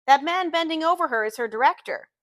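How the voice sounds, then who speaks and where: Woman reading as Daisy, flirtatious high-voiced socialite. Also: lively intonation, fast talker, dry recording, clean studio sound. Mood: admiring.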